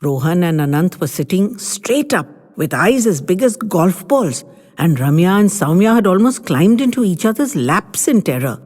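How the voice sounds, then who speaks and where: Animated woman reading a story aloud. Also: lowish voice, normal speed, breathy edge. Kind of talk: read-aloud family story. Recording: long hall reverb.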